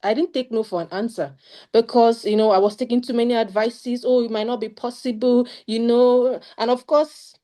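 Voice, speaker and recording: A woman's voice: a little high, steady tone, moderate pace, dark tone. A young woman with a Nigerian accent sharing her study-abroad experience in an earnest, emphatic way on a video call. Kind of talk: casual panel talk.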